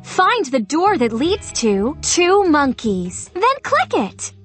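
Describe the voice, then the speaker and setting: An actor voicing an upbeat ten-year-old boy leader who guides young players through a children's game with clear encouragement. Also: high voice, very animated, slow pace, full character voice. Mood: helpful, upbeat. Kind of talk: children's educational game narration. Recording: music under the voice. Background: game music.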